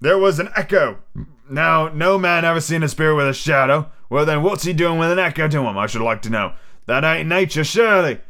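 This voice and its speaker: A man voicing a gruff, peg-legged old pirate captain, loud and blustering in rough sailor talk. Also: a little high, a little fast, pitched above the performer's own voice. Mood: defiant.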